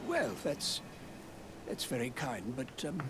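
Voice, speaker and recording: A man's voice: medium pitch, huge pitch swings, takes it slowly, background noise. An actor playing a pompous, self-important nobleman in a fantasy game, haughty and theatrical in his delivery. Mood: condescending. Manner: haughty, theatrical, grandiose declamation. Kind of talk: scripted fantasy game acting. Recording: background music under the voice.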